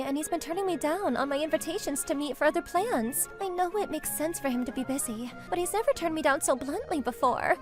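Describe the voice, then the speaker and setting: Casting: high-pitched, lively young woman played by a voice actress, obsessive and passionate, narrating a manga dub melodramatically. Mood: worried. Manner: melodramatic, gushing. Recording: music under the voice.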